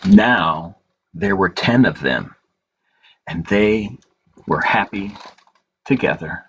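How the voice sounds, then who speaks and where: A man hosting a storytime as a librarian, reading picture books aloud in measured phrases with pauses and informal asides. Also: low voice, lively intonation, fairly bright tone. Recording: dull lo-fi audio with clear background noise.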